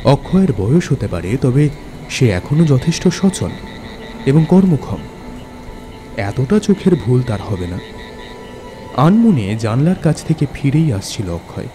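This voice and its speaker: A man's voice: mid-range voice, very animated, measured pace, long pauses. A man narrating a scripted ghost story as a dramatic storyteller. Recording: music bed under narration.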